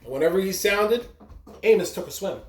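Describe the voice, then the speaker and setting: Storybook reader for a kids' read-aloud, expressive delivery, mid-pitched, roomy sound with noticeable background noise. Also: a little fast. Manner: expressive, with brief asides to listeners.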